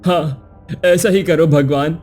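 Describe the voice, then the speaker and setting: Bright, high-pitched poor washerman played by a man. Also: steady tone, slow pace, soft background music. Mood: weary, pleading. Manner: exclamatory, pleading. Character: poor, weary, greedy washerman pleading with God. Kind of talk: Hindi cartoon moral story acting.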